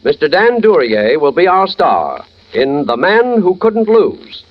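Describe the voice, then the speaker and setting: An American man with a fairly high, highly animated delivery, announcing in dramatic 1940s radio style. The old recording is dull, with light music behind him. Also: unhurried.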